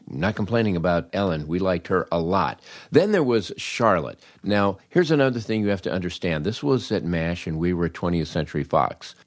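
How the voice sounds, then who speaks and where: A man's voice: low-pitched, no room sound, clean studio sound. An older American man hosting a podcast and telling comic anecdotes in a wry, understated way. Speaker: podcast host.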